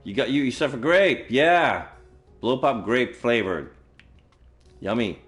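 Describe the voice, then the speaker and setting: A man's voice: mid-range voice, sing-song, slow pace, fairly bright tone. A man livestreaming a game, reading visual novel text aloud and adding casual commentary as he plays. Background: game music.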